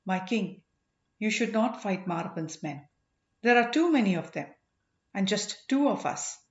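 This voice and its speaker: A woman's voice: medium pitch, lively intonation, a little slow, reverberant, dull audio, natural voice. A woman voicing a loyal, earnest male boatman in a storybook, pleading respectfully.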